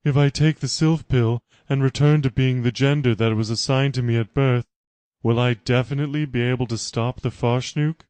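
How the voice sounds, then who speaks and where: A man's voice: mid-range voice, fairly even intonation, moderate pace, darkish tone, clean tone, dry recording, voice pushed up, lightly acted. A man voicing an indignant, earnest queen, a strong woman, with a formal, declamatory manner in a parody story. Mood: solemn, resolved.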